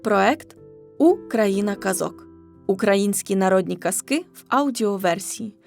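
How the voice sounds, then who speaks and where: A woman's voice: mid-range voice, lively intonation, a little slow, creaky, faint music behind. A woman reading scripted narration of a children's folk tale as the storyteller. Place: studio.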